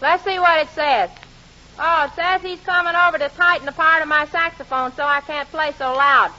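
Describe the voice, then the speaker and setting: A woman's voice: high voice, steady tone, normal speed, pushed and bright. An actress playing an eager, naive, folksy country woman in a 1940s radio comedy. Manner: folksy, exclaiming, plain-spoken. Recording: muffled, noisy old radio recording.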